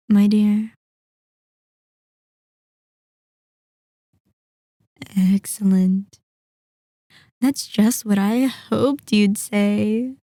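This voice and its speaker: A woman voicing a centuries-old, sadistic and possessive vampire in an intimate ASMR roleplay, speaking in a deliberate, taunting, commanding manner. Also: medium pitch, warm tone, no room sound, studio quality. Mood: gloating, amused. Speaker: audio roleplay performer.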